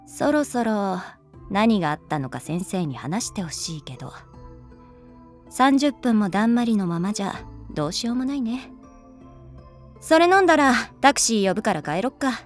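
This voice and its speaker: A woman's voice: mid-range voice, sing-song, moderate pace, soft background music, natural voice. A woman playing a pragmatic, teasing female schoolteacher in a Japanese audio drama, moving between polite firmness and casual bluntness. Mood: cool, stern.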